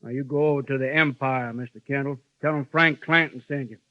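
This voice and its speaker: An actor playing a genial but menacing frontier town boss with a folksy drawl in a 1950s radio western. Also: male voice, mid-range voice, fairly even intonation, brisk, no room sound, close to an everyday voice. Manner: folksy drawl with a veiled threat. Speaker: town boss who lays down the law. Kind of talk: 1950s radio drama acting. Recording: dry, dull old radio broadcast.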